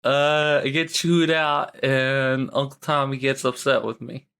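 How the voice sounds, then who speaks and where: A man voicing a mischievous, scheming school kid in a teasing, halting way for a tabletop game. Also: mid-range voice, level tone, creaky, fairly bright tone, smooth. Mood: coaxing.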